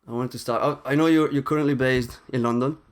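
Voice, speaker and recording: Male podcast host, mid pitch, scripted intro then questions. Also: fairly even intonation, fast, clear, resonant tone. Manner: reads a scripted intro, then asks questions with some stumbles.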